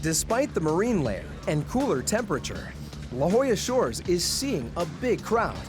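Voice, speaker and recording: A man's voice: high voice, big pitch swings, moderate pace. A man reading scripted documentary narration in a voice-over. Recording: music bed under voice-over.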